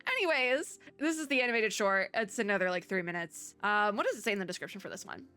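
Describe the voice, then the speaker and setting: A woman giving casual, excited reaction commentary in a chatty, rambling and expressive way. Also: medium pitch, quick pace, smooth, soft background music. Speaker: reaction video host.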